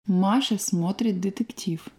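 Casual: mid-pitched woman w a warm mellow voice reading course drills, clear and measured. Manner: clear, measured, textbook delivery. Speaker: language course reader. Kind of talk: scripted language drill reading.

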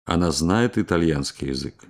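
A speaker reading scripted language-course drill lines slowly and clearly. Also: sits low, animated. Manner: slow, clear, carefully articulated.

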